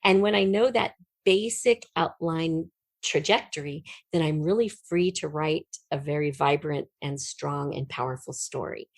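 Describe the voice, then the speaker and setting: Female writer as interview guest, slightly low voice, dry recording, conversational storytelling delivery. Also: measured pace, no room sound.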